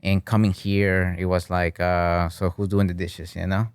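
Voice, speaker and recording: A deep-voiced man in his thirties from Peru talks as a guest on a podcast. His voice is smooth and clean, and the sound is close and dry. He rambles in a relaxed way and keeps checking in with "you know?" and "right?", now and then slipping into Spanish. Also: a little slow, no room sound. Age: 30s. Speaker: guest, bartending business owner. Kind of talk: casual interview chat. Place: podcast studio with flags on the wall.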